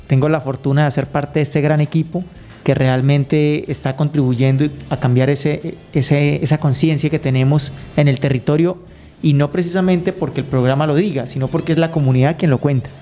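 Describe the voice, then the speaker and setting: Higher-pitched, mellow Colombian man. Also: fairly even intonation, moderate pace. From Colombia, raised in Guaviare. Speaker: radio guest, youth program consultant. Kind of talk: conversational interview answers.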